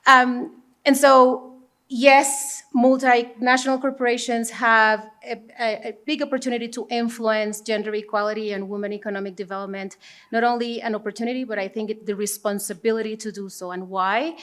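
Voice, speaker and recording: A woman's voice: a little high, level tone, normal speed, fairly bright tone. A woman from Panama, a native Spanish speaker and corporate executive, talking on a conference panel before an audience.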